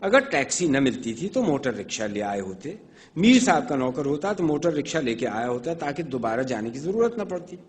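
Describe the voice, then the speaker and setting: A man voicing an exasperated elderly master in a comic story, lecturing his foolish servant with slow emphasis. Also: mid-range voice, very animated, natural voice. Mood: exasperated, scolding. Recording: noisy reverberant hall recording.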